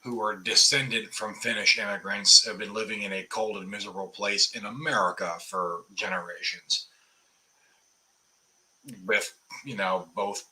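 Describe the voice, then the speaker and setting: Male co-host, from Upper Michigan, bright tense tone, dry jokes. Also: lowish voice, slight background noise, rough recording. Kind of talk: casual chat.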